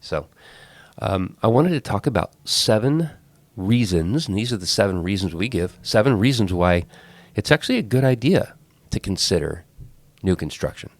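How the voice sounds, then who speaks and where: A man with a low voice and a congested, clogged-up sound from a head cold hosts a podcast. He talks casually, rambles, and restarts his sentences often. The recording is dry, with no room sound. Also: sing-song, normal speed, dry recording. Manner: rambling, self-correcting. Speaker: podcast host. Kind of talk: casual talk.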